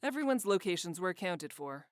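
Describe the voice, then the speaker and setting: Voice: medium pitch, very animated, fairly quick, no room sound, natural voice. An actor playing a curt, condescending head of a town guard in a fantasy visual novel. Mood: matter-of-fact. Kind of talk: visual novel character acting.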